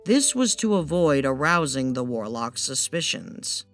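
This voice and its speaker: A woman's voice: a bass voice, sing-song, a little slow, soft background music. A woman narrating a scripted fairytale as a storyteller.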